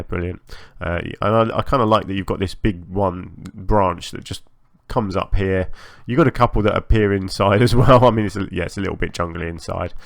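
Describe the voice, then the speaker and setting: A man giving casual, chatty commentary as host of a gaming channel, a little hoarse from a long recording session, hesitant and self-effacing. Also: deep voice, dry recording, studio quality. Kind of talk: casual game build commentary.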